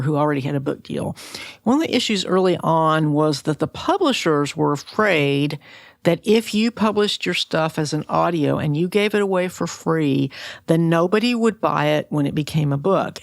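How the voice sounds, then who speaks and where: A woman's voice: low voice, vocal fry, no room sound, clean studio sound. A woman talking conversationally as a podcast interviewer.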